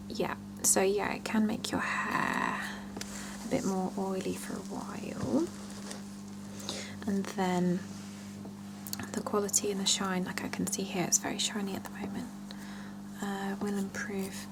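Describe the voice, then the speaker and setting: A woman doing an ASMR salon roleplay, talking gently and reassuringly to a client in a breathy whisper mixed with soft voicing. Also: mid-range voice, little pitch movement, noisy background. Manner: slow, gentle, reassuring, with murmured pauses. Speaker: ASMR roleplayer playing a salon scalp-check attendant. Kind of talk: ASMR roleplay, one-sided chat with a client.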